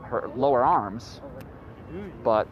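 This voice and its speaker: A man's voice: mid-range voice, takes it slowly, lo-fi sound. A man in his late twenties hosting a podcast, rambling casually and profanely through stories and notes. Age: late 20s. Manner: rambling, profane, animated storyteller. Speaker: podcast host. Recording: loud street traffic noise.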